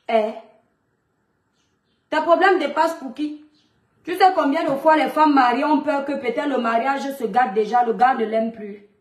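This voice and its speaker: A woman's voice: high-pitched, echoey room. A woman in her thirties with a Cameroonian French accent, lecturing in a scolding monologue full of rhetorical questions. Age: 30s. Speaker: mother addressing a public figure online. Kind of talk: monologue video rant.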